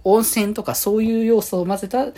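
A woman hosting a podcast, chatting casually on her own in halting, trailing phrases. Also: mid-range voice, steady tone, warm tone. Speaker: podcast host. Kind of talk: casual solo chat about fan events.

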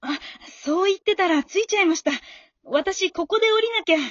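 An amateur actress playing a friendly, encouraging female fan who talks politely and gives gentle advice in a radio drama. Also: extremely high pitch, a little fast, no echo, muffled recording. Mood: knowing, secretive. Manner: polite, supportive, gently advising.

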